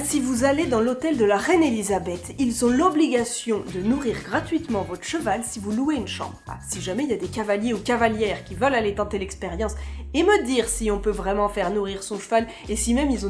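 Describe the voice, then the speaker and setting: A young woman hosting a casual vlog, playful and chatty, with joking asides. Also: fairly high pitch. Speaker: vlogger host.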